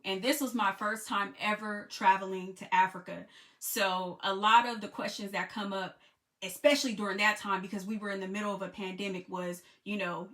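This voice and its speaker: An American woman telling a travel story in a relaxed, conversational way, with many "you know" fillers. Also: mid-range voice. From United States. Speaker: travel vlogger and group trip leader. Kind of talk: casual storytelling to camera.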